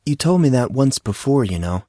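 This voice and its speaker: A man voicing a polite, knowing teenage schoolboy in audiobook dialogue, calm and measured. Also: medium pitch, no room sound, studio quality, voice pushed up. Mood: apologetic, familiar.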